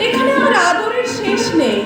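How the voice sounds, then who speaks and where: Actress for wronged young orphan turned brave protector: very high, earnest, declamatory, stage radio play, distant in a hall. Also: female voice, sing-song. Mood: earnest, grateful. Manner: emotive, earnest declamation with drawn-out phrases. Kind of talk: stage audio drama acting in Bengali. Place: large hall, live stage performance. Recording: echoey hall from far off, music behind.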